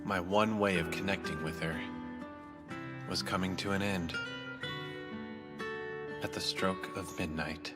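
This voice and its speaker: An actor in an anime dub playing a melancholy young man, narrating his thoughts in a somber, measured way. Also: deep voice, fairly even intonation. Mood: melancholy dread. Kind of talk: anime dub inner monologue. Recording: loud guitar music over the voice.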